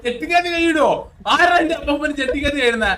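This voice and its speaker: A man bantering casually in online game roleplay, excitable and teasing, often repeating his questions. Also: very high voice, quick pace, crowd noise.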